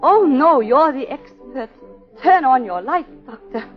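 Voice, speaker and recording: Muffled 1940s radio broadcast, music behind, troubled governess, high voice, clipped and restrained. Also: female voice, expressive, a little slow. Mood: guarded, flustered. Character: guarded, troubled governess with a shady past. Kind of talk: 1940s radio drama acting with narration.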